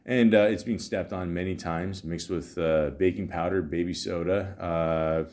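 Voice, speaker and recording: Casting: male podcast host, lowish voice, loose rambling delivery with dry, profane asides. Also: steady tone, darkish tone. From Canada. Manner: rambling, halting, profane, sardonic. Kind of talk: casual comedic podcast monologue, reading aloud with asides.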